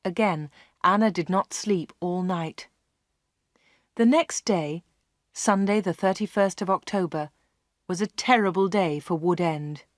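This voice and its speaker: A woman reading a story aloud for English learners, in a clear, measured way. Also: on the low side, dry recording, studio quality. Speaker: audiobook narrator.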